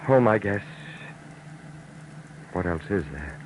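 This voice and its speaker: A man's voice: lowish voice, expressive, slow pace, heavy background noise. An actor playing a desperate young man on the run, frantic and stammering, in 1940s radio acting. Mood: sullen.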